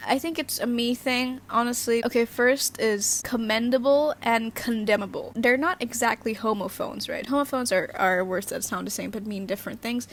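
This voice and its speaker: Young female host, Indonesian native speaker, giggly casual chat, slightly high voice. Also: fairly bright tone. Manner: chatty, giggly, many fillers and restarts. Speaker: channel host.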